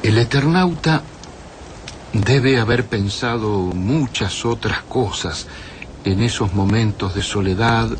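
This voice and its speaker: An actor playing a reflective writer recounting a story in a radio drama, measured and pensive, with an Argentine accent. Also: male voice, lowish voice, noisy background.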